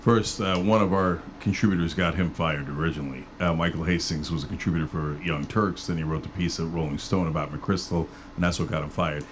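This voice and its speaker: A man's voice: low voice, fairly quick, few pauses, a little hiss or hum. A man from Turkey, a political commentator, talking as a guest in a casual podcast conversation. He acts out bits of dialogue as he tells stories. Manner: emphatic, animated storytelling with mock dialogue.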